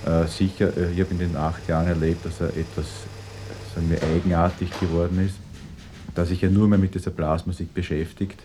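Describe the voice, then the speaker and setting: An actor playing a formal, guarded engineer and civil-service boss with a Viennese accent, giving a bureaucratic account in a documentary-style radio drama. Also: male voice, low-pitched, flat delivery, fairly quick, noisy background, lightly acted. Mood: guarded, official. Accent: Austrian, Viennese. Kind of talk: naturalistic mock-documentary interview in radio drama.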